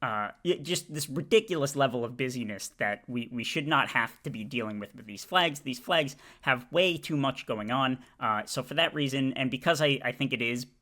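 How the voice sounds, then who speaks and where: A man giving casual commentary as he ranks flags, talking in a halting way with many filler sounds and restarts. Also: mid-range voice, sharp tone. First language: English. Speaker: video creator ranking flags.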